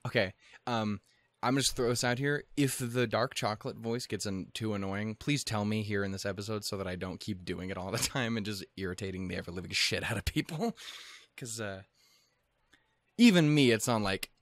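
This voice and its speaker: A man from Montana giving rambling, profane, jokey reaction commentary on an anime episode, with sudden goofy pitch jumps. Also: moderate pace, fairly bright tone, dry recording.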